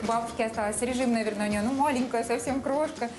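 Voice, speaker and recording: A woman's voice: a little high, fast. A woman, presenting a home makeover show and chatting with guests. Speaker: TV host. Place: indoors in a furnished flat room and hallway. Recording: roomy indoor sound with background music.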